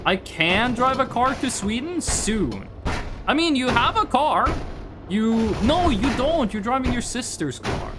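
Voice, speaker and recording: A man's voice: very high-pitched, very animated, unhurried, over music. A young man from northern Norway, chatting casually on a live stream while gaming, rambling and restarting his sentences. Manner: rambling, restarts sentences, reads chat aloud.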